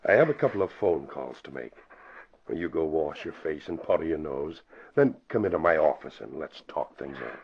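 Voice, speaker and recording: An actor playing a smooth, scheming, self-important crooked businessman in a 1940s radio drama. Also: very deep voice, sing-song, moderate pace, heavily acted. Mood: placating. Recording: dull vintage radio broadcast.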